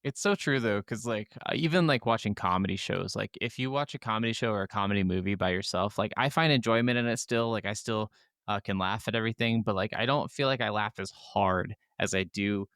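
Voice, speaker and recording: A man's voice: lowish voice, a little fast, fairly bright tone, no echo, clean studio sound. A man co-hosting a casual gaming podcast, talking in an agreeable, informal, rambling way. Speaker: podcast co-host.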